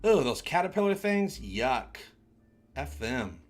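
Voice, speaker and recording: A man's voice: medium pitch, sing-song, slow pace, soft background music. A man playing a casual, flippant starship helmsman in a sci-fi comic read-along, breezy and joking.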